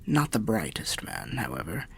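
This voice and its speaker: A man voicing a weary old undead wanderer telling fantasy tales in ASMR roleplay, half whispered and gravelly, with a measured, wry, old-fashioned way of speaking. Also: very animated, fairly bright tone, full character voice. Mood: wry, musing. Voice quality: breathy whispering, gravelly low voice. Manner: measured, archaic, wry storyteller.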